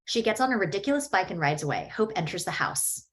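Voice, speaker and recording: A woman reading the stage directions at a script table read, in an even, matter-of-fact way. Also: medium pitch, fast.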